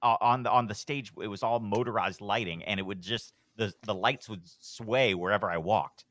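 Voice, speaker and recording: A middle-aged man with a deep voice, telling a long rambling story on a podcast in a relaxed, self-deprecating way. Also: normal speed, no echo. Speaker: podcast host, guest. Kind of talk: casual storytelling.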